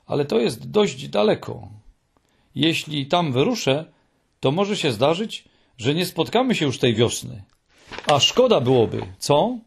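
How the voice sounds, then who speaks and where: A man's voice: fairly high pitch, big pitch swings, measured pace, vocal fry, slightly rough, pitched above the performer's own voice, exaggerated voice. A man voicing a cheerful, friendly, clueless male troll creature in an audiobook, with an eager, dreamy way of talking. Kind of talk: audiobook character voice.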